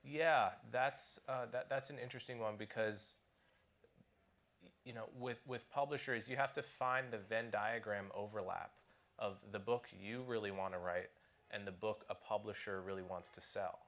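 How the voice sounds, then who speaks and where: A man on a conference panel talks about writing, his voice sitting a little low. He is thoughtful and careful, pausing and restarting phrases as he works out what he means. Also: steady tone, a bit bright. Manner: thoughtful, halting with frequent restarts. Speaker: panel guest, author.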